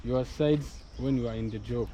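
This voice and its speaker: Man, medium pitch, hesitant repeats, busy crowd in the background. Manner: halting, repeats and restarts, soft-spoken. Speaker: local guide in conversation. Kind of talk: casual chat. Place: outdoor park. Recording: busy outdoor crowd around.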